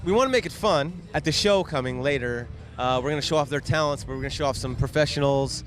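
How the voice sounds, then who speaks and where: A man's voice: a little high, quick pace. A man from a youth organization explaining its work with enthusiasm at an outdoor park event. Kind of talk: on-site interview. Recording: loud music outdoors.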